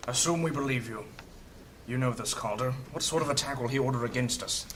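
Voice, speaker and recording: A blunt, decisive young man, as an actor plays him in a fantasy radio play, speaking in a plain mid-pitched voice. A steady hiss runs under the recording. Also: brisk, close to an everyday voice. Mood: resolute.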